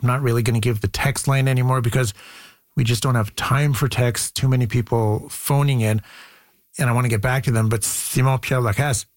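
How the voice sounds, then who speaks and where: A man hosts live talk radio from a dry, close-miked studio. His voice is mid-pitched, and he talks conversationally, putting questions directly. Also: steady tone, fairly quick, no room sound. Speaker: radio phone-in host.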